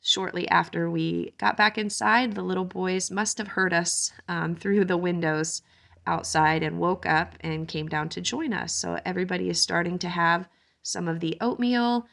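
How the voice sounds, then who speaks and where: A woman in her thirties gently narrating a homesteading family's day as a conversational vlog voice-over, with frequent "um" fillers. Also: fairly low pitch, steady tone, moderate pace, vocal fry. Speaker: homesteading vlogger and mother. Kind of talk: relaxed voice-over narration of a daily-life vlog.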